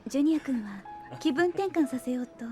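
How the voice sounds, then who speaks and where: A very high, gentle young woman's voice. She is a thoughtful, courteous scientist in an audio drama, speaking reflectively over a soft music bed. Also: lively intonation, brisk. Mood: polite, friendly. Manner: gentle, reflective, softly polite. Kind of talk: Japanese audio drama acting.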